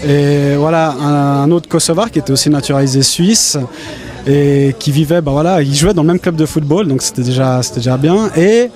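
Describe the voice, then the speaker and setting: A man's voice: on the high side, normal speed, a little mellow. A man of Brazilian and Spanish origin, a guest at an event, talking about himself with stammering restarts. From Brazil and Spain. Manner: stammering, hesitant. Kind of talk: casual personal testimony.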